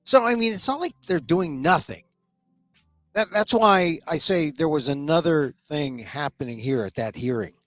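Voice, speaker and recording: A man's voice: a little high, lively intonation, normal speed, fairly bright tone, dry recording, soft background music. A middle-aged man hosting a solo political commentary show, opinionated and a little sniffly, often repeating words as he starts a thought. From United States. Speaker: talk show host.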